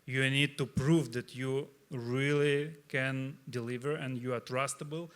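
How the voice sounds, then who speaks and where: Man, Russian accent, panel founder; hesitant delivery, lots of uh and um, mid-pitched voice. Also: steady tone, fairly bright tone. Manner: halting, searching for words, many fillers. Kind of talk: live panel discussion.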